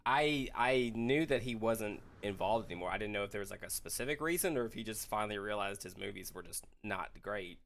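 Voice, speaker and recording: A man co-hosting a casual discussion in a measured, thoughtful way, often qualifying what he says. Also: on the low side, lively intonation, vocal fry, a bit bright, a little hiss or hum. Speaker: podcast co-host. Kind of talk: casual discussion of films and games.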